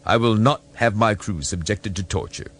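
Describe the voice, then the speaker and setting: A man voicing a proud, defiant alien military commander in an audiobook, with grating, forceful, formal speech. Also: fairly low pitch, normal speed, voice pushed up. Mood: defiant anger. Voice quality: grating, gravelly. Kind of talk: audiobook character voice.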